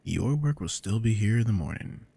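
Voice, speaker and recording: Man playing a deep, dark-voiced domineering boss. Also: lively intonation, moderate pace, creaky, husky, heavily acted. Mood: softer, tired. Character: possessive, weary, domineering male office boss. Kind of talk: scripted ASMR roleplay acting.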